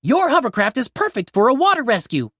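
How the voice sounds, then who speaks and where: A woman's voice: high-pitched, very animated, a little fast, a bit bright, breathy edge, dry recording, studio quality, pitched above the performer's own voice, heavily acted. A woman voicing a confident boy who leads a rescue team, encouraging and giving orders in a storybook. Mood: commanding.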